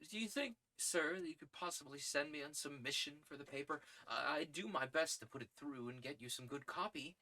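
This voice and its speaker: A man's voice: a little high, fairly quick, few pauses, bright, forceful tone, a little hiss or hum, pitched above the performer's own voice. Man doing an eager young reporter. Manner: eager, pleading. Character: earnest young male reporter.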